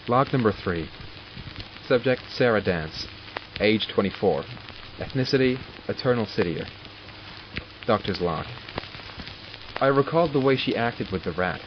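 A man's voice: fairly low pitch, animated, measured pace, long pauses. Man playing an exasperated doctor, muffled noisy recording. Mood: clinical, detached. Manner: clinical, sardonic, self-talking. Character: arrogant, exasperated male doctor studying supernatural captives. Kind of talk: audio drama acting. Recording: muffled, with loud background noise.

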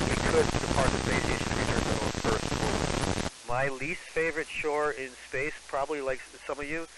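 A man speaking as an astronaut over a ham radio transmission, answering questions in a procedural, explanatory way. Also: on the high side. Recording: hissy, noisy ham radio reception. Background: radio hiss.

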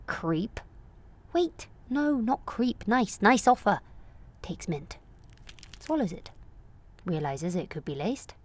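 A woman in her late twenties performing a confessional comic monologue in a candid, wry, self-questioning way, moving between confiding and animated. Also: lowish voice. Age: late 20s. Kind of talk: confessional comic audio monologue, present-tense narration.

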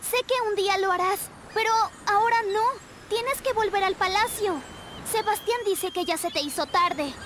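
An actress playing a small, caring and earnest sea friend in a video game. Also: female voice, extremely high pitch, normal speed, fairly bright tone, a little hiss or hum. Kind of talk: animated game character dialogue.

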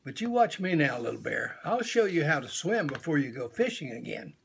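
An older man voicing a patient, gentle mother bear in a storybook, coaxing and teacherly, with a husky voice. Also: on the high side, sing-song, fairly quick, a little hiss or hum. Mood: encouraging.

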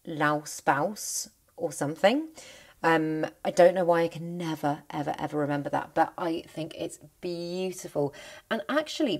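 Woman, enthusiastic plant vlogger, slightly low voice, a touch croaky; casual rambling home tour on a phone. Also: darkish tone. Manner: chatty, enthusiastic, rambling with restarts. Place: indoor plant cabinet at home.

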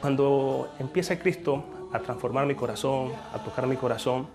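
A man's voice: medium pitch, moderate pace, music in the background. A man, giving an earnest, faith-filled interview testimony. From Colombia. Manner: earnest, faith-laden, with restarts. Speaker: business owner interviewee. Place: indoor room before a world map.

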